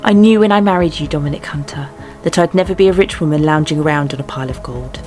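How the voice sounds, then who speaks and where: A woman's voice: sits low, fast, a little mellow, lightly acted. A woman playing an affectionate, teasing young wife and princess in a fan dub drama. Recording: light music behind the voice.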